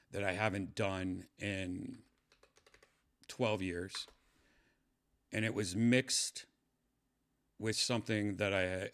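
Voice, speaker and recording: A man in his fifties with a lowish voice talks loosely to his livestream chat. He meanders, trails off mid-thought and restarts his sentences, filling the gaps with ums and uhs. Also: a bit bright. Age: 50s. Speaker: livestream host. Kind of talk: rambling casual livestream chat.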